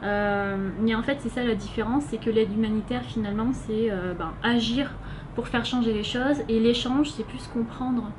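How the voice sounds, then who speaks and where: Young woman, French accent, interviewee; fairly high, halting delivery, lots of fillers; noisy recording. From France. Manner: hesitant, many filler sounds. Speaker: interviewee, volunteer. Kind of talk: casual interview answers. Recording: noisy background hiss.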